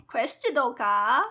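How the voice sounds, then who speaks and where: A woman's voice: sits high, bright, forceful tone, slightly rough. A woman streamer giving casual, playful gameplay commentary in a cheeky, hyper, giggly manner. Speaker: gaming streamer, virtual avatar host.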